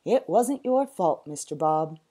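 A woman's voice: sits low, expressive, measured pace, dark tone, breathy edge, pitched below the performer's own voice, exaggerated voice. A woman voicing a nervous, kindly old male zookeeper in a storybook, hesitant and apologetic.

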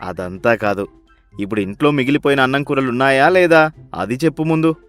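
A man's voice: medium pitch, brisk, fairly bright tone, faint music behind, close to an everyday voice. A man playing a miserly, sly rich husband in a story, with a bossy, scolding, sarcastic manner. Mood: bossy, impatient.

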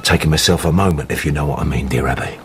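Low-voiced, sly tavern gossip. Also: male voice, fast talker, a little hiss or hum. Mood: conspiratorial, wry. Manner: conspiratorial, wry, storytelling. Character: seedy gossiping male tavern drinker, sly and wary. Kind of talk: radio drama acting.

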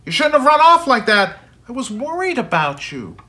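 A man's voice: very high-pitched, sing-song, normal speed, voice pushed up, heavily acted. A man voicing an emphatic, exasperated father in a storybook. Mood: worried, firm. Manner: emphatic, forceful. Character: stern exasperated father, grown man, softening to wonder.

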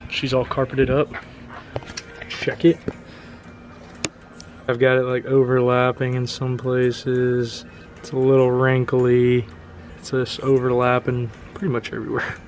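A young man with a drawl talks straight to his phone camera as he works in a home garage. He is loose, chatty and quick to poke fun at himself. Faint ambient noise hums behind him. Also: mid-range voice, a little mellow. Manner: self-deprecating, chatty, talks to camera while working. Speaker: vlogger. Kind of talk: casual DIY vlog.

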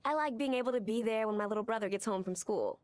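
A woman's voice: fairly high pitch, fast, no echo, close to an everyday voice. A woman playing a blunt, sarcastic young flower shop keeper in a video game. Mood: sarcastic, defensive. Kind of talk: video game character acting.

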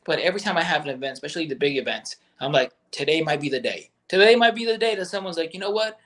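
A man's voice: on the high side, lively intonation, racing, fairly bright tone. Blunt, profane man on a video call. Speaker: guest, gun business owner. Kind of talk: candid podcast chat. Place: bedroom at home.

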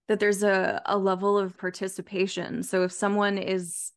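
A woman's voice: medium pitch, little pitch movement, moderate pace, clear, resonant tone. A woman in her thirties hosting a podcast, chatting casually and hesitantly as she searches for words. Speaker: podcast host. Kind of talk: casual chat. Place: room at home, video call.

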